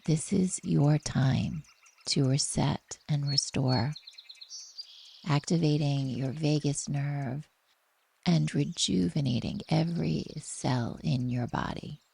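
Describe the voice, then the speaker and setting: A woman with a soft, breathy voice gently leading a guided relaxation meditation in a soothing, unhurried manner. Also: sits low.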